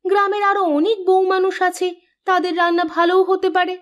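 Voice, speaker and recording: Woman acting a high-voiced, devoted village wife. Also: level tone, a little fast. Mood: doubtful, worried. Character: devoted village wife, sweet, obedient and anxious. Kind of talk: Bengali cartoon dialogue. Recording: music bed behind the voice.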